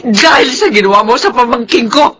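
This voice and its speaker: A woman's voice: on the high side, lively intonation, brisk, a bit bright. An actress with a Filipino accent playing a grieving wife and mother, accusing and scolding in anguish in a radio drama. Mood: furious anguish.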